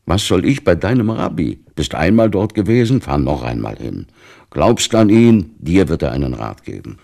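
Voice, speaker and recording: An actor playing a devout, stern, weary older father in a literary radio play, speaking gravely with a gravelly, husky voice. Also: low-pitched, very animated, moderate pace, mellow, full character voice. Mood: bitter, firm.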